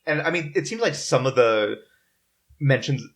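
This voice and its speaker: A man with a medium-pitched voice hosts a casual table discussion. He talks informally and haltingly, piecing together facts from his notes as he goes. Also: lively intonation. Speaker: podcast host. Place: in-person recording around a table.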